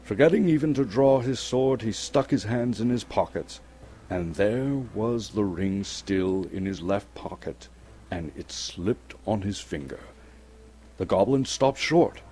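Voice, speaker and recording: A man from Canada giving a dramatic, suspenseful storybook narration with theatrical, deliberate phrasing. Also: lowish voice, lively intonation, mellow, a little hiss or hum. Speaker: actor reading a story aloud. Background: music.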